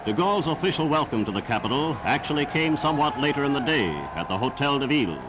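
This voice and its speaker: A man's voice: mid-range voice, animated, quick pace. A man narrating a 1940s wartime newsreel in a formal, grandiose, declamatory style. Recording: noisy old 1940s newsreel soundtrack.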